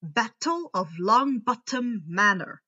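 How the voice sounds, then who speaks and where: A woman reading a story aloud in expressive audiobook-style narration. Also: on the high side, slow pace, muffled recording. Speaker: story reader.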